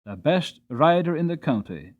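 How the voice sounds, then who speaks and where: A man voicing a kindly, folksy old male stable hostler who speaks low and musing, in a slightly dull recording. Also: sing-song, moderate pace.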